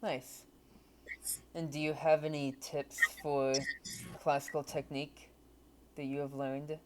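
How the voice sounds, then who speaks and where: A man's voice: on the high side, little pitch movement, measured pace, a little mellow, a little hiss or hum. A man hosting an interview, asking casual questions with a few fillers. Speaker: host, interviewer.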